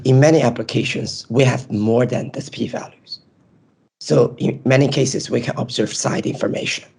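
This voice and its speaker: A man with a hoarse, nearly lost voice, presenting his research in a webinar and explaining step by step over a video call. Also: medium pitch, a little mellow, low-quality recording. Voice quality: raspy, strained. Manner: explains step by step with frequent ums.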